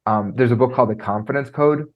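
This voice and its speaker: Man, presentation coach, animated podcast talk, trained singer, slightly dull recording. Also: fast. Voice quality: trained high singing voice. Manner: animated, lots of fillers like "like" and "right?". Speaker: content and presentation skills coach. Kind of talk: conversational podcast interview.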